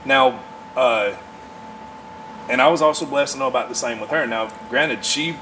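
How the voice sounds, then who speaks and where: A man in his forties talking casually as a podcast guest, in an earnest, halting way as he thinks aloud. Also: medium pitch, bright, forceful tone, slight background noise, poor audio. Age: 40s. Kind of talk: casual conversation.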